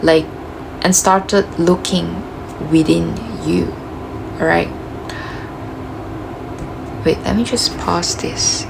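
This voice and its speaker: A woman with a gravelly voice giving a casual, reflective self-help talk, full of "you know" and "like", her voice cracking as she loses it. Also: lowish voice, steady tone, takes it slowly, pauses often, background noise. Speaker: motivational speaker.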